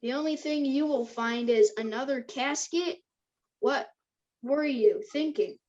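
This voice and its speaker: A woman's voice: sits high, a little slow, a little mellow. A woman playing an anxious, earnest youngster in a screenplay table read, with dull lo-fi sound. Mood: urgent, challenging.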